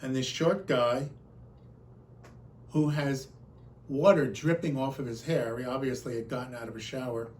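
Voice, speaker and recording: A man's voice: medium pitch, normal speed, pauses often. Man telling a story, noticeable background noise. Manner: animated storyteller, acts out quoted exchanges. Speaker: conference speaker. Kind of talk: personal storytelling in a talk.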